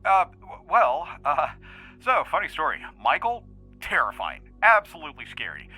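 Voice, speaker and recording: Guy acting a jokey magician guy in an audio drama, super bright forceful voice, bouncy pitch, rambles a lot. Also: moderate pace, slightly rough, faint music behind, exaggerated voice. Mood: animated, sheepish. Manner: animated, hesitant rambling storytelling. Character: brash, jokey male magical performer, casual and rambling under interview.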